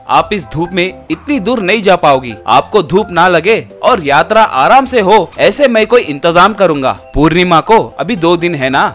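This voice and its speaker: A dutiful, confident young son in an animated tale, acted by a man. He speaks earnestly in a slightly high voice. Faint music runs underneath. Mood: caring. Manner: earnest, assured.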